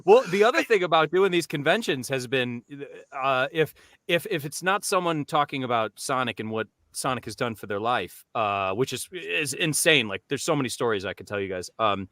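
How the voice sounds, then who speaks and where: A man's voice: medium pitch, expressive, normal speed, few pauses, fairly bright tone, no room sound. A man chatting casually as a guest voice actor, giving advice in a rambling way with many asides.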